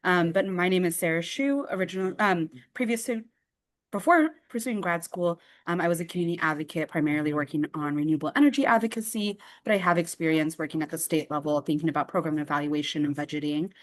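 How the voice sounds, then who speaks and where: Low-voiced woman joining remotely. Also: fast talker. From San Francisco. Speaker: graduate student. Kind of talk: self-introduction at a meeting. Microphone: remote call.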